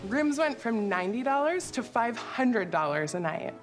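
A woman's voice: a little high, sing-song, moderate pace. A woman in her thirties talking as a motel owner in reality show interviews and chat. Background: music bed.

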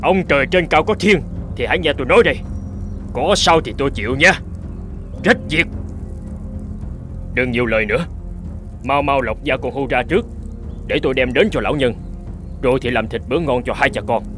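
A man playing a stubborn, greedy hunter and father in an animated folk tale, brusque, boastful and dismissive. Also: medium pitch, fairly quick, long pauses, lightly acted. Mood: indignant, bossy. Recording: music behind the voice.

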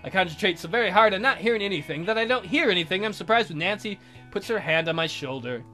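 A woman's voice: medium pitch, lively intonation, fast, sharp tone. A woman reads story narration aloud as a let's player. She slips on words and corrects herself as she goes, with guitar music from the game playing behind her. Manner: stumbles and corrects misreadings. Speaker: let's player reading a visual novel aloud. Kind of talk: story narration read aloud with character voices.

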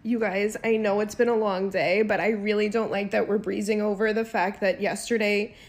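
A woman's voice: mid-range voice, steady tone, normal speed. A young woman chatting into a phone held at arm's length outdoors, talking through fits of laughter. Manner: laughing until near tears while talking. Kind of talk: casual chat.

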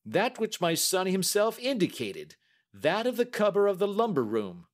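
Man acting impatient old banker, high and agitated. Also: huge pitch swings, normal speed. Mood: curt. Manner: emphatic, formal Victorian speech. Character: impatient, indignant older banker, head of the household. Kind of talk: audiobook character acting.

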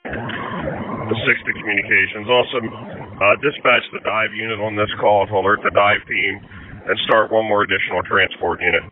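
Man, fire commander, lowish voice, procedural orders, dull radio with some noise. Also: moderate pace. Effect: emergency radio. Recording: muffled radio with steady noise.

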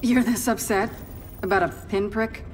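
A woman's voice: mid-range voice, fairly even intonation, normal speed, slight background noise, natural voice. A woman playing a skeptical young soldier in a cinematic video game, with a dry, challenging delivery. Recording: game music behind.

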